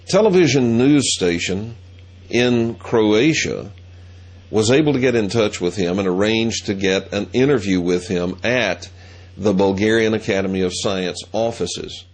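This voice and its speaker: A middle-aged man hosting a show, telling a story in a measured, deliberate way. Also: lowish voice. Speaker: host. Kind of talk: monologue.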